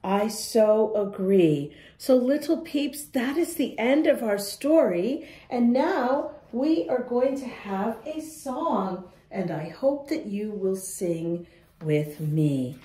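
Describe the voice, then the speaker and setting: A woman hosting a children's storytime, with a very soft-edged, mellow voice that swings widely up and down. She takes her time, phrasing each line deliberately and addressing little listeners in an encouraging way. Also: fairly high pitch, expressive. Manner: encouraging, addresses young listeners directly with questions. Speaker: children's storytime reader and host. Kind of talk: expressive picture-book read-aloud to young children.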